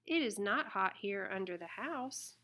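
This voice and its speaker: A woman reading a children's picture book aloud in an expressive, deliberate way. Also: mid-range voice, background noise. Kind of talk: read-aloud children's storybook narration.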